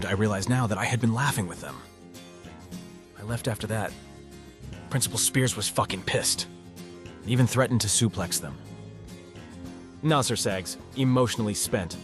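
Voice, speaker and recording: Man as cynical high school guy, low voice, sardonic first-person game narration, self-deprecating mutters, music behind. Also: normal speed, pauses often, lightly acted. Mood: uneasy. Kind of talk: first-person scripted narration, visual novel dub.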